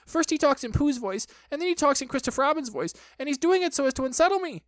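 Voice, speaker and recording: A storybook reader voicing a timid small piglet with a squeaky voice, anxious and flustered. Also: lively intonation, no room sound. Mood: nervous. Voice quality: squeaky, husky. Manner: nervous, hurried when flustered.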